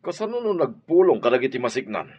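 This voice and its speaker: A man's voice: medium pitch, big pitch swings, quick pace, a little mellow, slightly rough. A man playing a fearful, reluctant accomplice in an Ilocano radio drama. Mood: afraid. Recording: dull radio drama recording.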